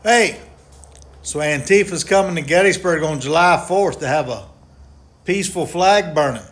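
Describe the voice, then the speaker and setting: A man loudly and profanely taunting protesters in a video. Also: on the high side, expressive, moderate pace. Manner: loud, mocking, profane.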